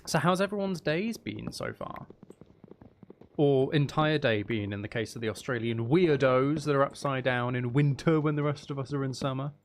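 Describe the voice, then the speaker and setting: A man's voice: medium pitch, normal speed, a little hiss or hum. A man giving casual gameplay commentary to viewers, thinking aloud and questioning himself in a wry, joking way. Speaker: streamer, host of the channel.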